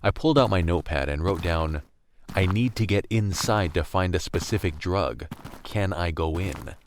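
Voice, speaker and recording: A man's voice: deep voice, lively intonation, moderate pace, slight background noise. A man acting as a weary, wry young survivor, narrating a radio drama in the first person. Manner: wry, brooding, world-weary. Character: weary, gritty young male survivor narrating a post-collapse story.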